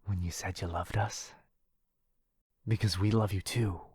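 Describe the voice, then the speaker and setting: A man's voice: low voice, fairly even intonation, a little slow, a little mellow, slightly rough, dry recording, exaggerated voice. A man playing a lovestruck, possessive, centuries-old vampire brother in a boyfriend roleplay, tender and pleading. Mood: tentative hope.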